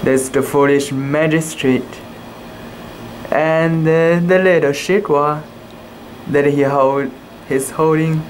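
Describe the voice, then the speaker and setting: Slow-paced young man reading aloud. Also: fairly high pitch, steady tone, slight background noise, poor audio. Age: young adult. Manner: halting, with cooing asides about the pictures. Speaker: reader of a children's book. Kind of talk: casual read-aloud for children.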